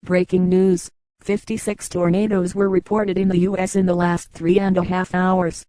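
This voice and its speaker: A woman's voice: lowish voice, level tone, normal speed, dark tone, soft background music, poor audio. A woman reading a scripted breaking news report as an announcer.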